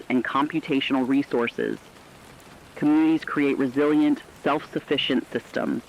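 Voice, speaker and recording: A man acts as an earnest, hopeful co-anchor in a radio drama about a rebuilding world. His medium-pitched voice reads scripted news formally. The sound is dull and lo-fi, like an old radio. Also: lightly acted. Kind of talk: scripted news broadcast narration.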